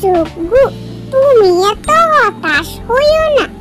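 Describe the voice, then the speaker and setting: Female cartoon bird wife, piercingly high, slow, bossy, coaxing, loud music. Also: big pitch swings, exaggerated voice. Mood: scheming, encouraging. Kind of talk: children's fairy-tale cartoon dialogue.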